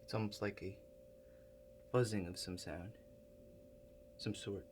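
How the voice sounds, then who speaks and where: Deep-voiced man in ASMR roleplay, loud hum. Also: a little slow. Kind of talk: ASMR roleplay, improvised talk to the listener. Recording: loud steady hum behind the voice.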